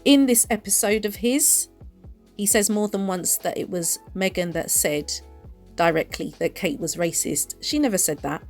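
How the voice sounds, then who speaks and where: A woman delivers opinion commentary with deliberate emphasis and open indignation. Her voice sits at an ordinary middle pitch. A faint bed of music plays behind her. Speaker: commentary channel host. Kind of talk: opinion commentary to camera or voice-over.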